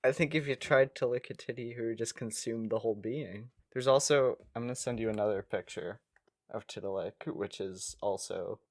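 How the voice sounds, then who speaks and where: A man's voice: on the low side, normal speed, darkish tone, no room sound, studio quality. A man co-hosting a comedic podcast, chatting casually and easily amused. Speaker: podcast co-host.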